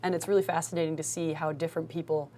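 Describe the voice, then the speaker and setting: Lowish-voiced American woman over audible hum. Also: little pitch movement, very fast, warm tone. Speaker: interview guest, co-director of a local film group. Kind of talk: casual explanatory interview talk. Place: live local TV studio.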